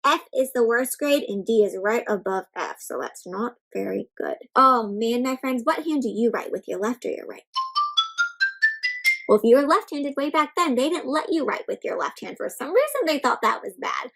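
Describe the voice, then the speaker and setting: A woman reading a picture book aloud to children, interactive and expressive, stressing words and turning to young listeners with simple questions and explanations. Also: on the high side, normal speed, vocal fry, faint music behind. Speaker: children's read-aloud host.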